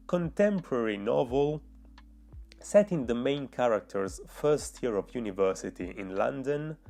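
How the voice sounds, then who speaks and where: A man's voice: medium pitch, creaky, a little mellow. Italian-accented man narrating a writing vlog. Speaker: writing vlogger. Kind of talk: scripted vlog voice-over.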